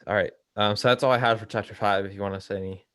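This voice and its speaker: A young man co-hosting a casual discussion, talking in a hesitant, halting way. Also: on the low side, steady tone, fairly bright tone, no room sound, studio quality. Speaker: co-host of a reading discussion.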